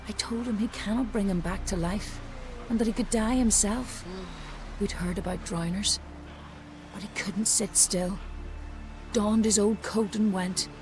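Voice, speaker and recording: A bereaved peasant widow from a fantasy game, voiced by an actress. She tells things plainly and somberly at a middle pitch. Music plays clearly behind her. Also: natural voice, low-quality recording. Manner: plain-spoken, somber storytelling. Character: grieving rustic village widow who lost husband and son. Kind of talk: video game voice acting.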